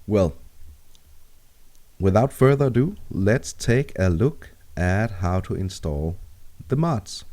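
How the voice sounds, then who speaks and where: Man, Danish accent, low mellow voice, calm tutorial explaining. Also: slow, long pauses. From Denmark. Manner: calm, steady step-by-step explaining. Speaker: tutorial maker. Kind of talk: screen-recorded software tutorial.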